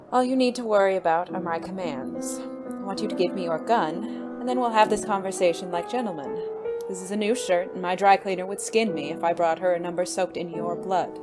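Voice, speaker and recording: Woman as a suave, dangerous, flirtatious gentleman. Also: lowish voice, lightly acted. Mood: coolly menacing. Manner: arch, refined, teasing. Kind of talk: noir audio drama acting. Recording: faint music behind the voice.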